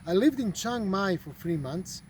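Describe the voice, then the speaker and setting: A man speaking English, talking casually about his travels and pausing often on fillers and repeated words. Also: sits high, expressive, slight background noise. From Croatia. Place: outdoors at a golf resort.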